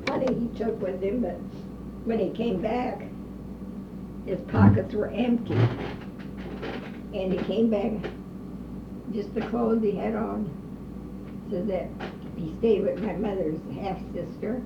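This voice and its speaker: Older woman reminiscing slowly. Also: medium pitch, vocal fry. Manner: slow rambling reminiscing with hesitations and coughs. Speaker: interviewee. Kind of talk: oral history interview. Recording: distant roomy lo-fi recording with noise.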